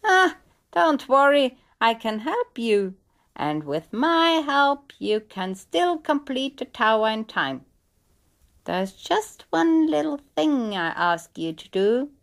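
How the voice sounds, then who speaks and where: A woman's voice: high voice, big pitch swings, takes it slowly, creaky, voice pushed up, exaggerated voice. A woman voicing a sly little devil man in a storybook tale, coaxing and full of mock sympathy. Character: little strange green-suited devil man, sly tempter. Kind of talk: storybook character voice.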